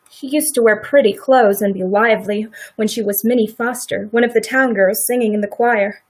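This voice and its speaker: A woman in a radio play acting a middle-aged farm wife who is sympathetic, quietly indignant and plain-spoken in a folksy rural way. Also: a little high, normal speed, lightly acted, rough recording. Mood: sympathetic, thoughtful.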